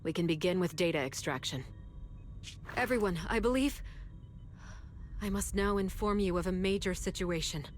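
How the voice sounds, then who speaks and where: An actress playing a solemn, formal researcher in a video game, stiff and deadpan in her precise delivery. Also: fairly low pitch, moderate pace, long pauses, slight background noise, close to an everyday voice. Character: solemn, formal female researcher, unflappably composed.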